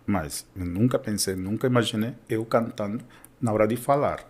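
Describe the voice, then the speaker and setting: A man's voice: on the low side, normal speed. Man from Peru, Peruvian Spanish accent, Spanish teacher, patient explainer, casual chat. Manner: explanatory, checks in with "certo?" and "né?".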